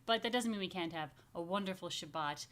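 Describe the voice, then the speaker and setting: A woman's voice: lowish voice, a little fast, fairly bright tone. A woman speaks as a rabbi hosting story time for young families. She talks casually and directly to viewers, inviting them to take part. Her delivery is playful and informal, with chatty asides.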